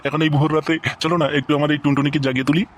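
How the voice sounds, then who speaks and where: A man's voice: on the high side, little pitch movement, racing, a little hiss or hum, lightly acted. Man voicing a cocky, boastful young lover. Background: background music.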